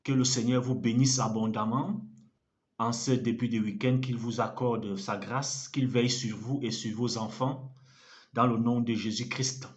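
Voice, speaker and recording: A man's voice: mid-range voice, muffled recording. A man preaching an online sermon as an evangelist, earnest and fervent, repeating phrases for emphasis, French not his first language. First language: African language.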